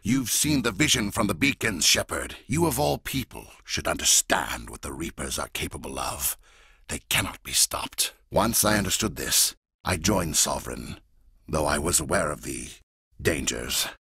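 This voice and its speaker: A fanatical alien warlord in a video game, menacing and cold. His low, very husky voice has a sharp, forceful edge. He speaks deliberately and grandly, with intense swings of pitch. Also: lively intonation, no echo, clean studio sound, heavily acted. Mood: cold, ominous. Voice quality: gravelly, raspy. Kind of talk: dramatic video game voice acting.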